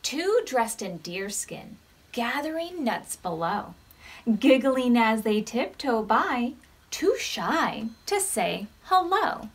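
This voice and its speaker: Slow, higher-voiced American woman reading to preschoolers. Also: breathy edge. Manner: expressive, measured reading with questions to young listeners. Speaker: children's librarian leading storytime. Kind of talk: read-aloud storytime for preschoolers.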